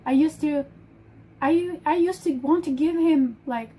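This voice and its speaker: A woman's voice: high-pitched, unhurried, dark tone. A woman with a German accent and German as her first language, hosting a casual live stream, talking emphatically and often restarting her phrases. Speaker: livestream host.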